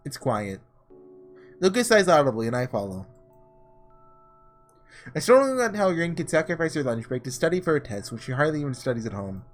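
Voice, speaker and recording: A man doing a casual let's play, reading story text aloud and breaking off into excitable asides, with game music behind him. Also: a little high, fairly quick, long pauses. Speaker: gaming video creator doing a blind playthrough.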